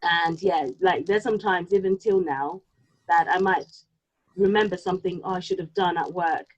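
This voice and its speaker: A woman whose home tongue is Yoruba, chatting casually and reflectively, halting now and then as she thinks aloud. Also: fairly low pitch, steady tone. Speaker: podcast co-host. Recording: slightly dull, lo-fi sound.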